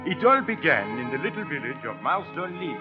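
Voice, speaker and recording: An actor playing a vain, courtly Belgian detective with a French accent and pompous, mangled English in a radio drama. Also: male voice, fairly high pitch, big pitch swings, fairly quick, loud music behind the voice. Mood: self-satisfied. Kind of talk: old-time radio drama acting. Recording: muffled old radio recording.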